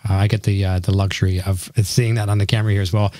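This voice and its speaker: A middle-aged man with a slightly hoarse voice, hosting a casual conversational interview. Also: lowish voice, flat delivery, fast talker, mellow, no room sound. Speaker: show host interviewing a guest.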